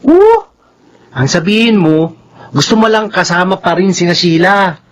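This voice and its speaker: A man's voice: high voice, very animated, a little slow, a little hiss or hum. A Filipino man voicing a studious, bossy young older brother in a radio drama, preachy and assertive. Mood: scolding.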